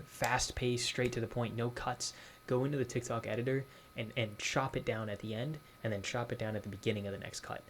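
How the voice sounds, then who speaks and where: A man from Boston runs a casual online lesson. His voice sits fairly low, and he circles back to his main points with many fillers. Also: slight background noise. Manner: conversational, repeats key points, many fillers. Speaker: online course instructor.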